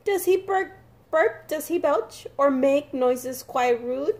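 A woman reading a picture book aloud to young children in an expressive, sing-song, emphatic way. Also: high-pitched, takes it slowly. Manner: animated, emphatic, sing-song with repeated words for small children.

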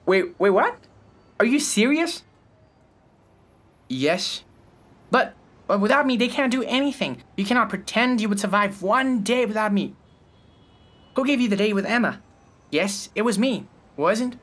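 Man putting on a cheeky sense of humor, higher voice, playful, teasing, boastful. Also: animated, a little slow, long pauses, fairly bright tone, full character voice. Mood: indignant.

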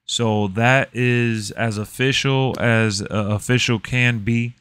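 A man's voice: mid-range voice, fairly even intonation, takes it slowly, fairly bright tone, clean tone, dry recording. A man in his thirties talks to viewers in a casual, emphatic way, like a host reacting to gaming news. He leans on his points conversationally. The sound is close and dry, with very little room in it. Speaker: gaming and wrestling video host. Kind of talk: casual reaction commentary to camera.

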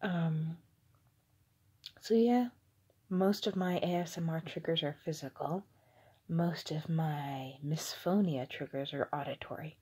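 A young adult woman giving a whispered, halting ASMR monologue in a breathy voice, recorded indoors on a phone held at arm's length. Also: sits low, dark tone. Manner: slow, hesitant, halting. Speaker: ASMR video creator. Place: small indoor room with curtains.

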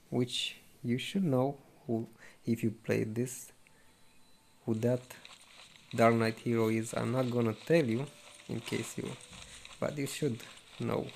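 A man talks through a game walkthrough casually. His mid-pitched voice has a gravelly creak and he speaks haltingly, low-key, over faint background noise. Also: steady tone, a little mellow, poor audio. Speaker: gameplay video host.

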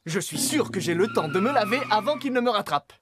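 An actor in a French children's cartoon dub voicing an excitable, competitive young vehicle that gets whiny when thwarted. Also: medium pitch, brisk, background noise, close to an everyday voice. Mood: excited, boastful.

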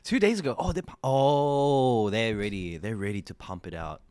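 A man's voice: on the low side, normal speed, darkish tone, clear, resonant tone, no echo. A young Australian man streaming from a small room into a desk mic, reacting casually to music videos with a lot of laughing and repeated, rambling words.

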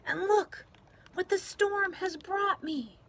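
A woman's voice: very high voice, takes it slowly, fairly bright tone, voice pushed up, exaggerated voice. A woman voicing an earnest, eager young girl in a picture book read-aloud. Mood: pleased. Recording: clear outdoor background noise.

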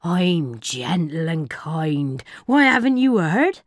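A woman puts on a gravelly storybook pirate captain. He blusters theatrically and acts slyly innocent, with lively swoops in pitch and an unhurried delivery. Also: dry recording, clean studio sound, exaggerated voice. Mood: feigned innocence.

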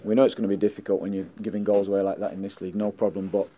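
A middle-aged man and football manager answering post-match questions indoors, measured and blunt, often saying "listen" and "um" into handheld mics. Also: lowish voice, flat delivery, mellow, slight background noise. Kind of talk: post-match press interview. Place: indoors in front of a sponsor board. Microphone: handheld mics held close.

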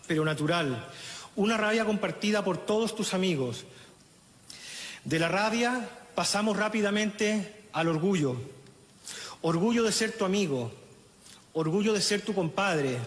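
A middle-aged man with a Chilean accent reads a funeral eulogy at a lectern microphone outdoors, in a solemn, measured way with halting restarts, audibly emotional. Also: high voice. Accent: Chilean Spanish. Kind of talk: funeral eulogy, read aloud. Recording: echoey, reverberant outdoor sound with faint ambience.

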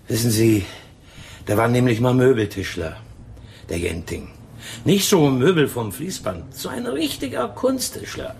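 A man playing a chatty, gossipy tobacco shopkeeper in a German radio crime drama, talking in an emphatic, drawn-out way. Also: medium pitch, sing-song, vocal fry, a little mellow, a little hiss or hum. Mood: emphatic, indignant. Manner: talkative, emphatic, drawn-out storytelling. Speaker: tobacco shop owner in a radio play.

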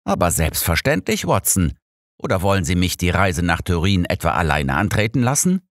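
A man's voice: low voice, big pitch swings, moderate pace, dry recording, studio quality. A man voicing an irritable, sarcastic old detective in an audiobook, curt and condescending. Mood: needling, annoyed. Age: older. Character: irritable, sarcastic old male detective, imperious know-it-all. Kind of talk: audiobook character dialogue.

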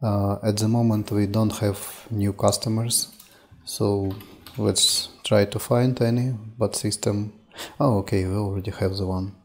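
Slow, flat-voiced man walking through software. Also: fairly low pitch. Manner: unhurried, stepwise, with frequent "uh" and "um". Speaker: software demo presenter. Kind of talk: screen-recorded software tutorial.

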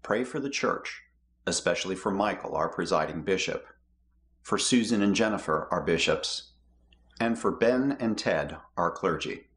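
A middle-aged man reading the prayers of the people in a measured, steady way from his home office. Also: low-pitched. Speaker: lay prayer leader.

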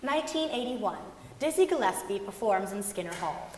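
Lively young woman, echoey hall. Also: medium pitch, moderate pace. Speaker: reader in a dramatic reading. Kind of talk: staged dramatic reading. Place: large concert hall stage. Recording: echoey, noisy concert hall, long reverb.

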